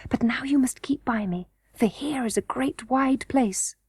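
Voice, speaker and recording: A woman's voice: sits high, measured pace, slightly rough, no echo, studio quality, pitched above the performer's own voice, full character voice. A woman voicing an eager, trusting little princess girl, earnest and breathless, in a children's storybook reading. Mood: confident.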